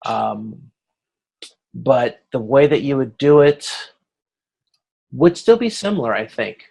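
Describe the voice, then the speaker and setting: A man with a mid-pitched voice leads an informal online class. He explains through examples in a halting, think-aloud way, often correcting himself and checking that listeners follow. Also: sing-song, creaky, dark tone, breathy edge. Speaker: instructor. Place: online video conference.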